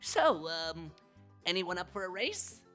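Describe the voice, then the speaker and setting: Woman voicing a cocky, excitable storybook rabbit. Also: lowish voice, takes it slowly, soft background music, heavily acted. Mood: cocky, excited. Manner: showy, boastful, pleading. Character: boastful, hyperactive young male rabbit eager to race.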